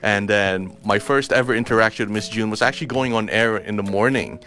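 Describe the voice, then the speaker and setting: A man's voice: lowish voice, fairly quick, a bit bright. A young man from Cebu, a radio DJ and co-host, chatting casually on air and laughing often between stumbling restarts. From Cebu, Philippines.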